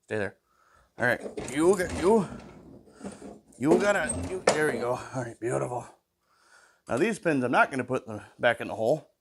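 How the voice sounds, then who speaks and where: A man's voice: mid-range voice, lively intonation, normal speed, long pauses, background noise. A man with a stuffy nose talking to himself while he takes apart an old mower in his workshop, humming between tasks. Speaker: small engine repair vlogger. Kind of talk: casual talk while working.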